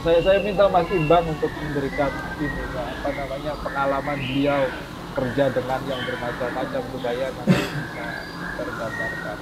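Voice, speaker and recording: Man over very loud background noise. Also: mid-range voice, steady tone, normal speed. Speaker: webinar moderator or panelist. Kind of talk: casual spoken discussion in an online seminar.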